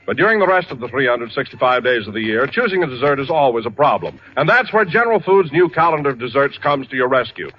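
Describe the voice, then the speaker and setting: A man's voice: mid-range voice, very animated, fast, hardly any pauses, slight background noise. A man announcing a scripted 1940s radio commercial in a polished, persuasive way. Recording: muffled 1940 radio broadcast.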